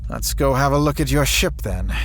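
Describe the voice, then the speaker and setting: An actor playing a dutiful, harried space station commander in a sci-fi audio drama, polite yet brisk and exasperated, over music. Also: male voice, medium pitch, lively intonation, a little hiss or hum, close to an everyday voice.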